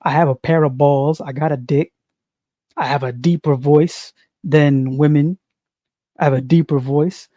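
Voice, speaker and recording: A man giving an unscripted spiritual monologue, slow and preachy, repeating phrases and asking "you see". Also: a little high, fairly even intonation, warm tone, no echo, clean studio sound. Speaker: spiritual commentator.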